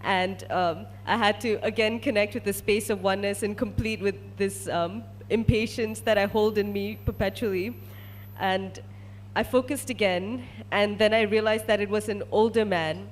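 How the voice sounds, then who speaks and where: A woman's voice: medium pitch, steady tone, moderate pace, slight background noise. A woman from Malaysia, a research scientist, telling a personal story to an audience as a testimonial.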